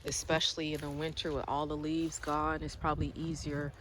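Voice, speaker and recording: A woman giving a casual walking tour of her land. She has a cold, clears her throat now and then, and rambles as she goes. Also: deep voice, level tone, fairly quick, background noise. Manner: chatty, loose and rambling, repeats words. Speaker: farm owner vlogger. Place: outdoors on rural land in the rain.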